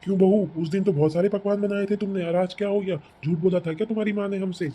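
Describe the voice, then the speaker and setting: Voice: mid-range voice, fairly even intonation, quick pace, mellow, husky, natural voice. A performer voicing a mild, resigned older father-in-law, a village man in a cartoon comedy. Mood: questioning. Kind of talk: Hindi cartoon family comedy dubbing.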